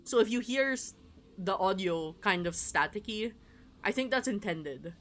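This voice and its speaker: A livestreamer chatting casually while playing a game, rambling and excitable, prone to giggling. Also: medium pitch, measured pace, slight background noise. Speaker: livestreamer playing a visual novel. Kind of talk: casual live stream commentary with read-aloud game dialogue. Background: game music.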